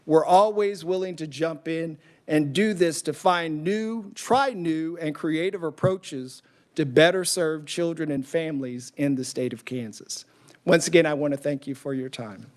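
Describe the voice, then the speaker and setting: A man speaks politely and formally to a committee. His voice is on the higher side for a man. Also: a little slow. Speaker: presenter testifying. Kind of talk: formal testimony.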